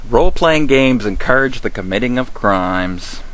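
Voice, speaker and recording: A man's voice: medium pitch, expressive, moderate pace, fairly bright tone, poor audio. A man hosting a web series, reading scripted comic commentary in a sarcastic, emphatic way. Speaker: host of a web series on roleplaying games.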